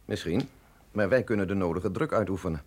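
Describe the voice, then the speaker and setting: A man playing an urbane, skeptical physiologist in a radio drama, speaking with measured, ironic eloquence. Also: sits low, darkish tone. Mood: wry, ironic. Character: wry, skeptical male physiologist, urbane and philosophical. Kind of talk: Dutch radio drama acting.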